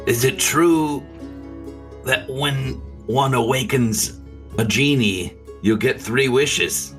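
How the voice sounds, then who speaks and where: A man's voice: mid-range voice, slow, a bit bright, faint music behind. A man playing a tabletop game, hesitant and unsure. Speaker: player.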